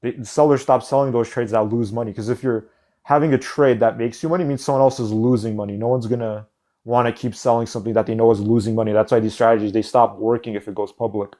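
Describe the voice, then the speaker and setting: A man's voice: medium pitch, fairly even intonation, quick pace, dark tone. A man hosting a live call-in stream, blunt and impatient, cutting callers off mid-sentence. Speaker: livestream host taking callers.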